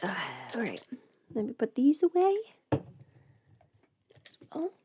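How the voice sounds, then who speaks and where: A woman chatting casually on a livestream in a halting, rambling way, often trailing off mid-thought. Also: high voice, big pitch swings, noisy background. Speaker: livestream host, audio performer. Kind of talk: casual livestream chat while getting ready.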